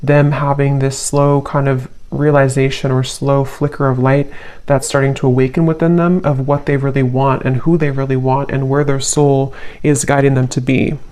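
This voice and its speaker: A tarot reader talking casually and intuitively to viewers, thinking aloud in a halting way and repeating phrases. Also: mid-range voice, level tone, darkish tone.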